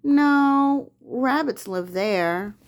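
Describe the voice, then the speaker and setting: Woman plays clever storybook spider, male, fretful and exaggerated, pitched high with big swoops. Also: lively intonation, drawn-out words, exaggerated voice. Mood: worried.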